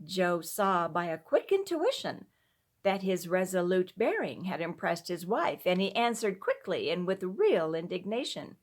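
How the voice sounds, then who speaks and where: A woman storyteller giving a measured, expressive scripted narration of a classic tale. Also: fairly low pitch.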